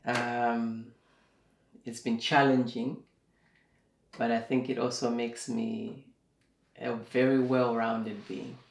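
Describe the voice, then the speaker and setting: A young man from Zimbabwe, a guest in a casual interview, speaking slowly and thoughtfully, with plenty of fillers. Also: medium pitch, little pitch movement. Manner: slow and reflective, with many fillers. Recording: echoey room.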